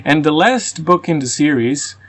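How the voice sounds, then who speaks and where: A man's voice: a little high, lively intonation, moderate pace, a bit bright. A man with a Croatian and Russian accent, reviewing a book casually and venting his frustrations, with fillers and restarts. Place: rural home.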